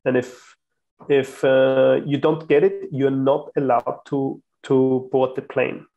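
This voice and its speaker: Creaky-voiced man from Austria. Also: mid-range voice, steady tone, slow, mellow, highs cut off. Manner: hesitant, many restarts. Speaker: guest. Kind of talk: casual chat. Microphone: video call.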